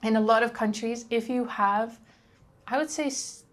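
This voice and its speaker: A woman's voice: a little high, moderate pace. A young woman from the Netherlands with a Dutch accent, chatting casually to camera as a travel vlogger, with frequent ums and likes.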